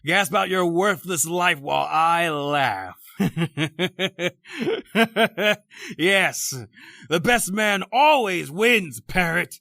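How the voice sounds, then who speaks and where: A vengeful doctor acted by a man in a radio horror play, gravelly and slow. He taunts theatrically, dragging out his words as he gloats, with his pitch swinging widely. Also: very animated, sharp tone, dry recording, studio quality, exaggerated voice. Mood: gloating, taunting. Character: vengeful gloating male doctor, escaped convict, dying adrift. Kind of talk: old-time radio horror acting.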